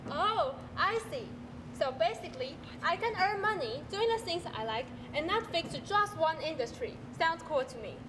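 A woman's voice: very high-pitched, lively intonation, normal speed. A young woman in a student stage skit, reciting questioning lines. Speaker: student performer. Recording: distant, noisy hall recording.

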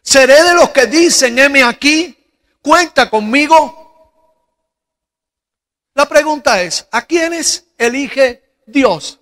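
A middle-aged man from Cuba with a Cuban Spanish accent, preaching a sermon into a handheld mic in a large church hall, slow and deliberate, with rhetorical questions. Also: very high voice, expressive, fairly bright tone. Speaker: pastor. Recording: long hall reverb.

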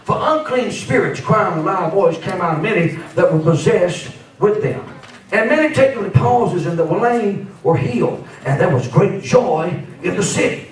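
A man's voice: high voice, lively intonation, moderate pace. Male Pentecostal preacher, fifties, fervent and emphatic, distant heavily echoing church sound. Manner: fervent, emphatic, punctuated with amens. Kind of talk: Pentecostal sermon. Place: church sanctuary, at and around pulpit. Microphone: handheld microphone. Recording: very echoey church hall, from far off.

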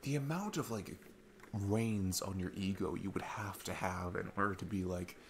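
A man playing a sweet, chatty dad on a date in an ASMR roleplay, rambling enthusiastically with halting restarts. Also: deep voice, creaky, a little hiss or hum. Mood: earnest, admiring. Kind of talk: ASMR audio roleplay, casual rambling talk.

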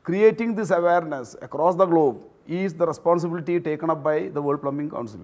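Higher-pitched older man, formal. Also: brisk, a little mellow, faint music behind. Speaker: organization chairman, interviewee. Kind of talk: explanatory interview talk. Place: indoors, house sitting room.

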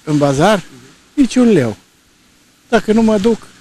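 A man's voice: high-pitched, expressive, a little mellow. An elderly man giving terse, blunt opinions in a street interview into a handheld microphone. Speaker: passer-by interviewee. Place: outdoors on a snowy street. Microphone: reporter's handheld microphone.

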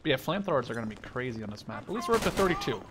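A man in his twenties giving casual, sweary commentary over first-person shooter gameplay in an excitable manner. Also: medium pitch, very fast, background noise. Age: 20s. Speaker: gaming video creator. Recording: loud gunfire and explosions behind. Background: war game sound effects, gunfire, machine guns.

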